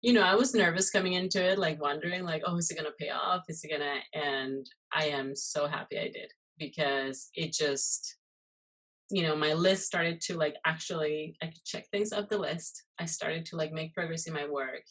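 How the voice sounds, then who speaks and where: A low-pitched woman from Costa Rica talks through an interview in a relaxed, conversational way. She leans on fillers and loose asides as she tells her story. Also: moderate pace, rough recording. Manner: chatty, many fillers and "you know". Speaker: guest, muralist and illustrator. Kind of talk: casual interview chat.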